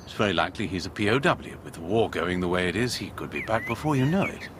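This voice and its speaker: An actor playing a resolute, daring British army major in a wartime radio drama. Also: male voice, low-pitched, expressive, fast talker, background noise. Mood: measured, grave.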